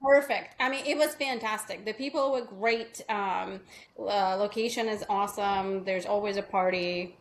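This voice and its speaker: A woman's voice: mid-range voice, normal speed, slight background noise. A middle-aged woman from Russia with a Russian accent, a real estate agent chatting through an interview, telling stories with lots of fillers. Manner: chatty, storytelling with many fillers. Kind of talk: casual interview chat.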